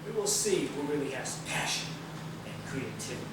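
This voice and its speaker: Male stage actor as grandiose ruthless corporate tyrant, high-pitched, commanding, very echoey distant stage recording. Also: expressive, normal speed, close to an everyday voice. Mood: menacing, grandiose. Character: pompous, ruthless male corporate leader plotting against rebels. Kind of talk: stage play acting. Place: theatre stage, picked up from a distance. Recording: echoey, noisy stage videotape from far off.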